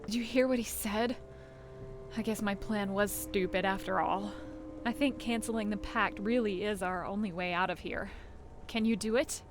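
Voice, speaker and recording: A worried young woman , voiced by an actress, speaks at a middling pitch in a game cutscene. Clear noise sits behind her. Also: fairly even intonation, moderate pace, natural voice. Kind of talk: video game cutscene acting.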